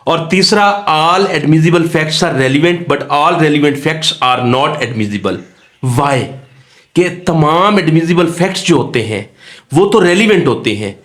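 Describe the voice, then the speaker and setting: A man teaches in a lecture style. His voice sits a little higher than average for a man. He is didactic, restating and rephrasing key points so that learners follow. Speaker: law lecturer. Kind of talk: exam-focused lecture mixing Urdu-Hindi and English terms.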